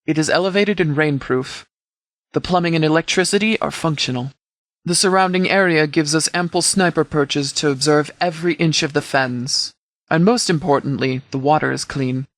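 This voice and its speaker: A man playing a stern, principled post-apocalyptic sheriff in a video game, speaking in a formal, measured, articulate way. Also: fairly high pitch, fairly bright tone, no echo. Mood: grave, measured. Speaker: voice actor in a game mod. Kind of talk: scripted video game character dialogue.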